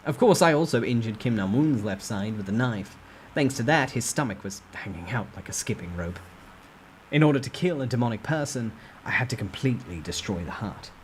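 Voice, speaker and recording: A man narrates a novel in the first person. His mid-pitched voice moves expressively in a dramatic storytime ASMR style, with faint ambient noise behind it. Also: lively intonation, fairly quick, poor audio. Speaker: narrator reading a novel aloud.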